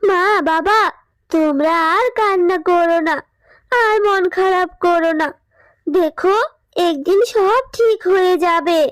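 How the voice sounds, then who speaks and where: A woman's voice: extremely high pitch, slow pace, no echo. A woman voicing a sweet, earnest young animal girl in a children's cartoon, childlike and friendly. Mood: consoling. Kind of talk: Bengali children's cartoon dubbing. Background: music.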